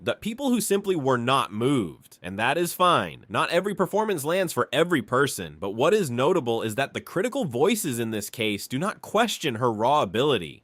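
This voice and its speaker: A man delivering scripted reaction commentary in a measured, articulate way with emphatic phrasing. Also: a little high, a bit bright, no room sound.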